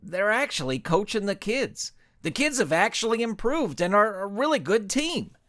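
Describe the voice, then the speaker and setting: Nervous male coach for audio storybook, played by a male narrator: high, whimpering, pleading, animated. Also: normal speed, no echo, studio quality. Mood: earnest. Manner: whimpering, insistent.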